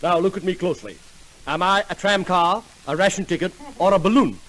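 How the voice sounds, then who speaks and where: An actor playing a pompous, self-assured psychoanalyst doctor in an old-time radio comedy sketch. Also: male voice, high-pitched, a bit bright, low-quality recording. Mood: patient, pompous. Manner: pompous, formal, deliberate questioning. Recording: old radio recording, faint noise.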